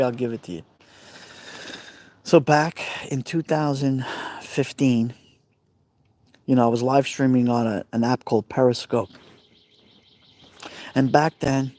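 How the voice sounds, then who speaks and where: Brooklyn man, middle-aged, mellow timbre, chatty live streamer by an outdoor fire pit. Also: medium pitch, no room sound. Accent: Brooklyn New York. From Brooklyn, New York. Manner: chatty, rambling, answers viewer comments by name. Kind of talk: casual live stream chat with viewers.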